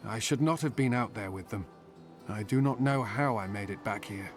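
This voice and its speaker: An actor playing a humble farmer turned reluctant militia captain in a fantasy game, earnest and self-doubting. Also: male voice, lowish voice, normal speed, music in the background, close to an everyday voice. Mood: humble, burdened. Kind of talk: fantasy game character acting.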